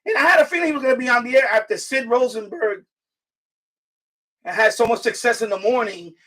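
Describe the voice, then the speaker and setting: A middle-aged man chatting casually on a live stream, halting and rambling as he corrects himself. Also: extremely high pitch, pushed and bright, far from the mic. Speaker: live streamer, radio hobbyist.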